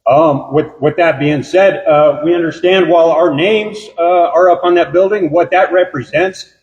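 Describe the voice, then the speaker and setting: A man who is a law officer speaking at his own honoring ceremony, loud-voiced, halting and earnest. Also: fairly high pitch, dull audio. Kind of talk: ceremony speech.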